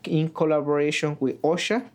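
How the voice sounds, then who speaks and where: A man's voice: on the high side, moderate pace, a little mellow. A man from Oaxaca, Mexico, whose first language is Triqui, answering interview questions as a community worker in plain, simple phrasing.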